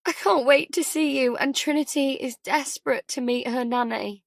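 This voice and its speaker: A frayed new mother in an audiobook, voiced by a woman narrator, weary and a little defensive. She keeps herself measured, in a fairly high voice with no room sound. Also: vocal fry, no room sound, studio quality. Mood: tearful. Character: tired, guarded new mother, adult daughter, emotionally frayed. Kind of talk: audiobook character dialogue.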